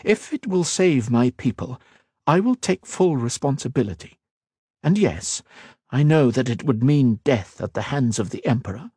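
A man's voice: medium pitch, expressive, a little mellow, slightly rough, no room sound, clean studio sound, voice pushed up. A man voicing a haughty, imperious queen. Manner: imperious, cutting, measured. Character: proud, wary woman queen, haughty and sharp-tongued.

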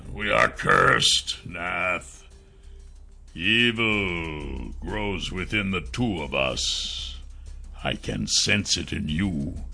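Man voicing a throaty, slow cursed beast king. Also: sits low, animated, gravelly, bright, forceful tone, faint music behind, full character voice. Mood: dark, ominous. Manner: halting, forced, ominous words. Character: cursed elven king turned great savage beast, grave, pained. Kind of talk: fantasy audiobook character.

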